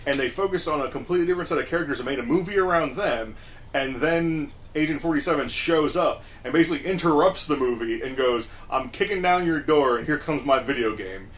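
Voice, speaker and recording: A man's voice: a little high, a little fast, a bit bright. A man co-hosting a casual discussion, explaining things at length in a storytelling way. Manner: explanatory, storytelling, acts out short quotes. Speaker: podcast co-host. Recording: faint background noise.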